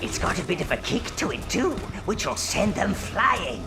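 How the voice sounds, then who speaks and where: A man voicing an elderly goblin-like minion who advises his dark master in a game, gravelly, servile and slyly sardonic. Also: high-pitched, sing-song, heavily acted. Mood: servile, instructive. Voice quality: gravelly, creaky. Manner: obsequious, drawn-out, gleefully sinister. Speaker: advisor to an evil overlord. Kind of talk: theatrical game character dialogue. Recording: game music under the voice.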